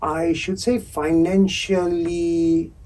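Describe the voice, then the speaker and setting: A man's voice: fairly high pitch, flat delivery, slow, a little mellow, recorded from a distance. A middle-aged man from Singapore with a Singaporean English accent, a podcast guest talking candidly and wryly about his past. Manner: candid, wry storyteller. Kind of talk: casual interview chat.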